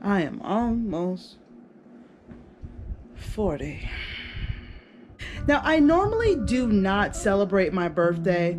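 A woman's voice: mid-range voice, sing-song, slow, long pauses. A woman in her thirties talking candidly to the camera in a casual home vlog, laughing easily. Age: 30s. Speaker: vlogger. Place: bedroom at home.

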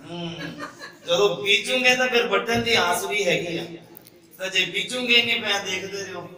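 A man's voice: high voice, moderate pace, bright, forceful tone. A young man preaching to a congregation, quizzing the crowd in call-and-response. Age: young adult. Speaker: pastor. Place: church hall with congregation. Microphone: handheld mic at a pulpit, filmed from afar. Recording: echoey hall, distant mic, crowd.

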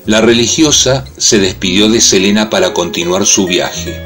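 A man's voice: fairly low pitch, normal speed, a bit bright. A man delivering scripted narration for a radio soap opera. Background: music.

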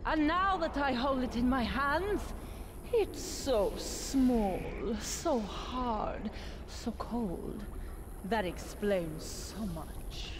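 An actress playing a menacing, grandiose villainess in a video game, with a theatrical, drawn-out, haughty delivery. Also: female voice, on the high side, expressive, background noise. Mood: cold, gloating. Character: menacing, grandiose villainous woman claiming to be a goddess.

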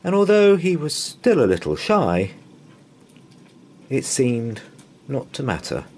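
A man reading a children's bedtime story aloud in an expressive, dramatic way with drawn-out pauses. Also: medium pitch, a little hiss or hum. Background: open fire ambience.

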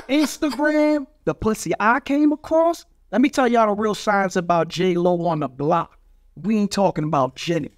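A man's voice: high voice, no room sound. A middle-aged man with a New York accent, telling bawdy street stories in a brash, boastful, slangy way on a studio mic. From Brooklyn, New York. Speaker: guest, street graffiti artist. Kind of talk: bawdy street storytelling in casual podcast talk. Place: small studio with foam panels. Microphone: studio mic on a boom arm.

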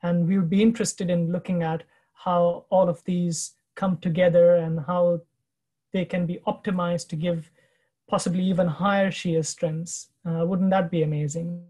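A man presenting research findings in a webinar over a video call, explaining slides in a measured way with frequent pauses and fillers. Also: high-pitched, level tone, dark tone. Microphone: video call webcam.

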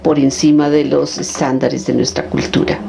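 Low, creaky-voiced woman in a roomy hall. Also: flat delivery. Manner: halting, phrase-by-phrase delivery. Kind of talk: live religious teaching, interpreted. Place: large meeting hall, indoors. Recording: roomy, noisy hall recording.

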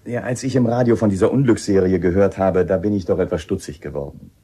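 A shrewd inspector in a radio play. His voice is deep and mellow with a gravelly grain. He is polite, a little hesitant and probing. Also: fast. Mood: probing, firm. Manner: hesitant, probing, matter-of-fact. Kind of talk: radio drama acting.

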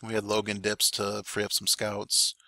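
A man commentating a strategy game war replay in a relaxed play-by-play, his voice hoarse and raspy today, with fillers and occasional coughs. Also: lowish voice, moderate pace, no room sound. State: voice getting raspy. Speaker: clan war recap commentator. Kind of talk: gameplay commentary.

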